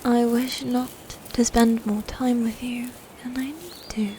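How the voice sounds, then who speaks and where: Woman acting a haughty lady knight, medieval ASMR roleplay, mellow and fairly high, archaic commanding speech, breathy, contemptuous, faint background noise. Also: fairly even intonation, a little slow, natural voice. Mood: scornful, stern. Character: proud, scornful female knight, possessive and bitter. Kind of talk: dominant ASMR fantasy roleplay.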